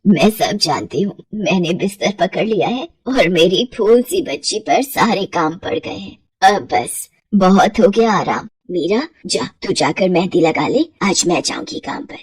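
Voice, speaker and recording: A woman voicing a caring, middle-aged widowed mother, weary and worried, with a gentle, plaintive manner in an audio story. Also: fairly low pitch, expressive, unhurried, few pauses, husky, dry recording, voice pushed down, exaggerated voice. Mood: weary, sick.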